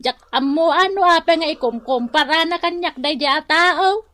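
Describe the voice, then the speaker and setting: A woman's voice: very high-pitched, normal speed, sharp tone, dry recording. A woman with a Filipino accent playing a meek, hurt middle-aged mother in a radio drama, speaking hesitantly. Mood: sad, plaintive. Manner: plaintive, hesitant. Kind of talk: Ilocano radio drama acting.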